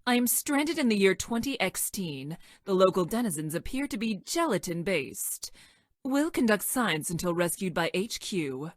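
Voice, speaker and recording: A woman voicing a stranded time-traveling scientist in a video game, clinical and detached, speaking in stilted, formal, technical language with a deadpan delivery. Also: medium pitch, normal speed, dry recording, studio quality, lightly acted. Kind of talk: scripted video game character lines.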